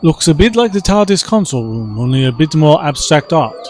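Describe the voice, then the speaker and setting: A man's voice: fairly high pitch, sing-song, brisk, a little mellow. A man playing a wry, insecure adventuring companion in a science fiction audio drama, with a dry, sardonic delivery.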